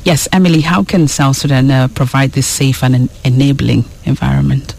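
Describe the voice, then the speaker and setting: A man's voice: mid-range voice, steady tone, brisk, gravelly, a little mellow. Man hosting with brief prompts. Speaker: host. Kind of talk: radio interview.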